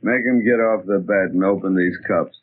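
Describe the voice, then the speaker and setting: An actor in a 1940s radio drama playing a terrified, deranged gent, raving in anguish and repeating himself. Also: male voice, deep voice, moderate pace. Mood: pleading, delirious. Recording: tinny, band-limited 1940s radio recording.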